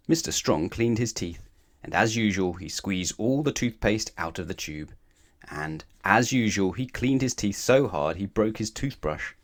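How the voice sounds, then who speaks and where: A man reading a children's story aloud in an expressive, animated way, with emphatic phrasing aimed at the listener. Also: low-pitched. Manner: animated, asks the listener questions, emphatic repetition.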